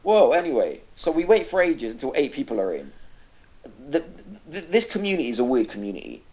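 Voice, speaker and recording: A man's voice: medium pitch, wildly swooping pitch, brisk, a little mellow. A man co-hosting a casual gaming podcast, ranting animatedly and swearing freely. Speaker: podcast co-host. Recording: dull lo-fi podcast audio.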